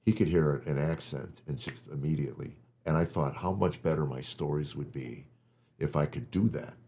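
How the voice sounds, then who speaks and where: A man's voice: rumbling low, moderate pace, mellow, slightly rough. An older man and guest comedian telling stories in a casual, rambling chat, often repeating words as he starts a thought.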